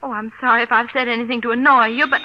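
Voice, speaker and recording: Actress as evasive sweet wife, raised pitch, polite, hesitant, soothing, 1940s radio drama, dull vintage sound. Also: female voice, fairly even intonation, very fast, noisy background, natural voice. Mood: anxious, placating. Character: anxious, placating wife, sweetly evasive with her husband. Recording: muffled vintage radio recording.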